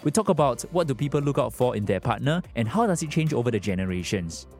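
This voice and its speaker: A man's voice: medium pitch, big pitch swings, fast talker, darkish tone, soft background music. A man, reading an upbeat scripted introduction as a podcast host.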